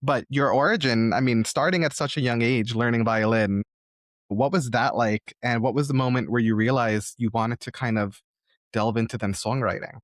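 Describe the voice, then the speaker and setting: A man's voice: mid-range voice, normal speed, dry recording, clean studio sound. A man hosting a podcast interview in a conversational, admiring and reflective way.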